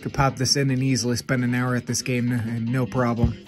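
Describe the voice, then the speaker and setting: A man's voice: medium pitch, little pitch movement, music in the background. A man hosting a gaming channel, chatting casually while he recommends games, sounding a little stuffed up from congestion and keeping an upbeat, chatty manner. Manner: upbeat, chatty, quick asides. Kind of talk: casual game recommendations to camera. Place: room with game shelves, indoors.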